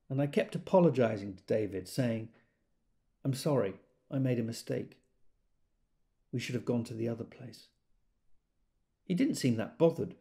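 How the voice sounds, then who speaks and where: A man's voice: medium pitch, vocal fry, warm tone, natural voice. An actor playing a rueful, self-doubting everyman who tells his story in a wry, confiding, fussily precise way, as in an audio drama. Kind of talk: dramatic monologue, audiobook-style first-person storytelling.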